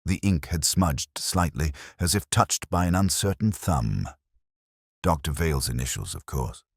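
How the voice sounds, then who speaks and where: A man as a very deep, melancholy inspector. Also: lively intonation, husky, no room sound, clean studio sound, exaggerated voice. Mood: brooding, reflective. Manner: measured, reflective, wry. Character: melancholy, erudite older male English police inspector, reminiscing. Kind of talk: slow literary first-person detective narration.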